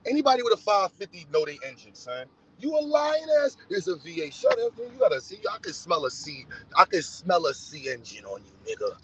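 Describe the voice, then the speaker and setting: Casting note: high-voiced New man, blunt livestream host, dull lo-fi audio. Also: huge pitch swings, brisk, vocal fry, few pauses, husky. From United States. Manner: blunt, teasing, talks straight to viewers. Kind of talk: casual livestream chat. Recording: dull, lo-fi sound.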